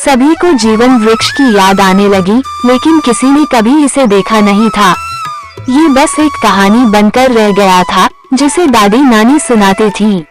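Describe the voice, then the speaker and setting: Storyteller for scripted moral-tale narration, mid-pitched voice, music underneath. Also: lively intonation, slow. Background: background music.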